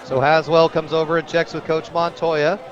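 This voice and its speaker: An American man calling live high school football as a radio play-by-play announcer, getting excitable on big plays and rooting for the home team. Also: moderate pace, highs cut off, faint music behind.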